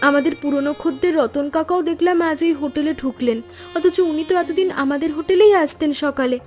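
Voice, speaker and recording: A woman's voice: sits high, brisk, a little mellow, soft background music, close to an everyday voice. A woman voicing a caring, hardworking young granddaughter who serves at the family shop in a cartoon, polite and earnest. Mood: worried. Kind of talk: cartoon story dialogue.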